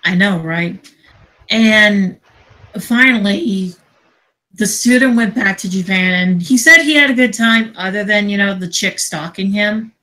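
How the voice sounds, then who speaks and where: A woman chatting casually and telling stories in a sweary, animated way, acting out what people said. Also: mid-range voice, a little slow, rough recording. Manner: sweary, animated retelling with acted-out dialogue. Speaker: podcast co-host. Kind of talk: casual storytelling chat.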